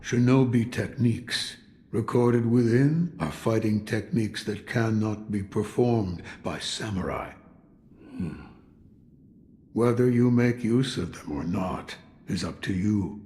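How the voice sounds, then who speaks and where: An actor playing a weary, brooding old sculptor of Buddhas in a video game, speaking in a deliberate, cryptic way. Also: male voice, lowish voice, dark tone. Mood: grave, brooding. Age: older. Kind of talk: scripted video game dialogue.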